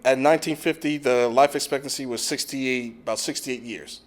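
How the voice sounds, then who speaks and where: A man, a physical therapy professor, giving a relaxed online lecture with frequent fillers. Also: mid-range voice, normal speed. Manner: relaxed, with frequent "um" and "you know". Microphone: video call.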